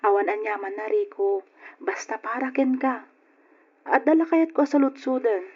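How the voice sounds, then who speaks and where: High-voiced coy Ilocano sweetheart. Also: female voice, unhurried, breathy edge. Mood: flirtatious, doubting. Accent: Filipino (Ilocano). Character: teasing, coy woman being courted by a womanizer. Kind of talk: Ilocano radio drama acting. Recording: dull old radio recording with music.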